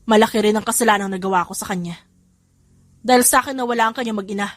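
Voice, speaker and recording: A woman's voice: mid-range voice, quick pace, no room sound, clean studio sound, lightly acted. Woman reading as a guilt-ridden older uncle. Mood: remorseful. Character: guilt-ridden indebted uncle, a gambler confessing.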